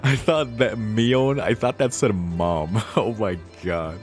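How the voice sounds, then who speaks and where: A very low-voiced man streams a visual novel, switching between reading the text and informal reactions. He swears freely and sounds invested in the story, with faint music behind him. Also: takes it slowly. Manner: foul-mouthed, informal, emotionally invested. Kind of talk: casual let's play commentary mixed with reading game text aloud.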